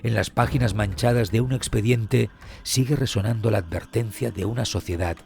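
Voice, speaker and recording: A man reading scripted documentary narration in a solemn, measured, dramatic way with weighty pauses. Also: lowish voice, gravelly, darkish tone. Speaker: true crime podcast narrator. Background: background music.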